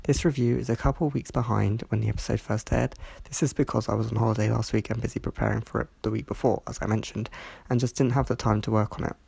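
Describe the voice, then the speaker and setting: A reviewer reading a scripted review in a measured way, sounding stuffy from hay fever. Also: medium pitch, steady tone, creaky, darkish tone, breathy edge, no room sound. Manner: measured, reads from a script with small slips. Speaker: video reviewer.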